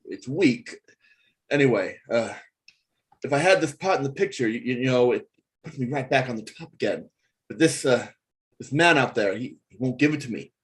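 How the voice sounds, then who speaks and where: A man's voice: mid-range voice, expressive, close to an everyday voice. An actor playing a desperate, whining washed-up male singer, stammering his way through a script table read. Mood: dejected, pleading. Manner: halting, stammering.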